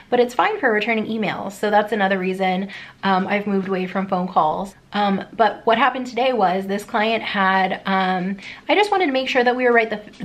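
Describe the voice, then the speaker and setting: A woman's voice: mid-range voice, a bit bright. A woman chatting informally in a casual vlog style, rambling and thinking aloud as she goes. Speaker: vlogger; dog walker.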